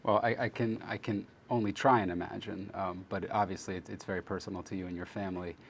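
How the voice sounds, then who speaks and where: A man's voice: low-pitched, fairly even intonation, fast talker, vocal fry, slight background noise. A man hosting an interview over a video call, asking questions hesitantly with many fillers. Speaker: host, interviewer. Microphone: video call microphone.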